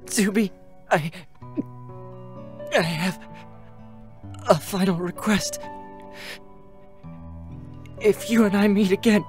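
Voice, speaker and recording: 9S, halting, slow-spoken young android. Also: male voice, high-pitched, a little mellow. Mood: fading, grateful. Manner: halting, tender, broken phrases. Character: gentle dying young male combat android. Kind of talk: dramatic scripted reading. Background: faint background music.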